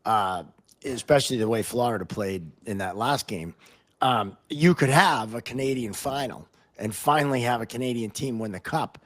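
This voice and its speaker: A man hosting a podcast, chatting casually about hockey in a loose, halting way as he thinks aloud. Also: mid-range voice, a bit bright. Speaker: podcast host. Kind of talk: casual sports chat.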